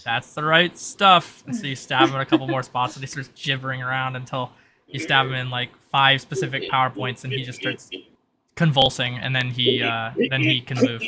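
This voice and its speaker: A man's voice: mid-range voice, a little fast, noisy background. A man narrating a tabletop roleplay as game master in a casual, improvised and informal way. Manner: informal, peppered with "like".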